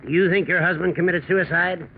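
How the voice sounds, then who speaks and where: Formal, higher-voiced radio coroner. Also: male voice, fairly even intonation, fairly quick. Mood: probing. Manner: formal, inquiring. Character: formal, probing male coroner questioning witnesses. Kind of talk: 1940s radio acting. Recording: muffled, noisy 1940s radio recording.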